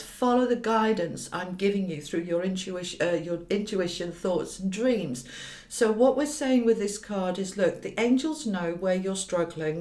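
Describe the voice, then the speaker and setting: A woman with a slightly croaky voice giving an informal tarot reading, speaking directly to viewers with emphatic repetition. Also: mid-range voice, a little mellow. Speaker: tarot reader and hypnotherapist. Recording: roomy, echoey sound.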